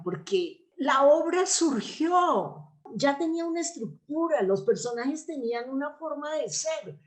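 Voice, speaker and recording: An older woman with a Colombian accent, talking reflectively in an interview, explaining things and often checking for agreement with short tag questions. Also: fairly high pitch. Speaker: interview guest, theatre actress and playwright.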